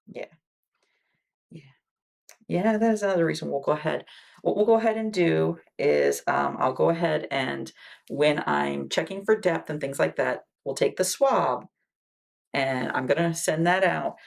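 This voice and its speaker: A low-pitched woman with a husky, slightly gravelly voice plays a wound care nurse in an ASMR roleplay. She talks softly and patiently, explaining each step. Between lines she often drops to near-whispered "okay"s. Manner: soft-spoken, patient explanations with frequent "okay"s.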